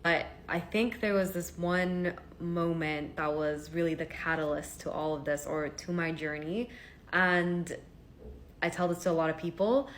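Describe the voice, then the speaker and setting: A woman's voice: lowish voice, fairly even intonation, measured pace, slight background noise. Chatty young woman from Hong Kong. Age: 20s. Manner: chatty, earnest, many "like" fillers. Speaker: vlogger. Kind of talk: casual talking-to-camera story. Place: home.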